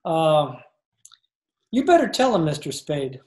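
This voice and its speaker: Casting: mock-courtly gangster's sidekick, very animated delivery, smooth and flowery, vintage radio crime play. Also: female voice, deep voice, slow pace, heavily acted. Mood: obsequious. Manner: florid, theatrical, over-polite. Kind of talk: 1940s radio crime drama acting. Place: home, recorded over video call.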